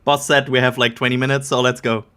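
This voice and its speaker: A man's voice: medium pitch, level tone, quick pace, pushed and bright, clean tone. A man co-hosting a casual live commentary stream, speaking hesitantly and often correcting himself. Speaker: co-host.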